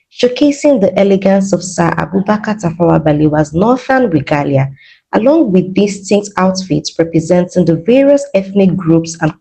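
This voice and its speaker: A woman's voice: lowish voice, gravelly, dark tone, breathy edge, low-quality recording. A woman reading scripted documentary narration in a polished, dramatic way, as a reporter.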